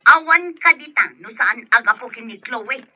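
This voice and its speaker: A woman with an Ilocano accent playing a bossy, sharp-tongued mother and madam, demanding and snapping, in a radio drama. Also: fairly high pitch, expressive, moderate pace, husky, full character voice. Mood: angry. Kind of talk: Filipino radio drama acting. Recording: dull lo-fi radio audio over music.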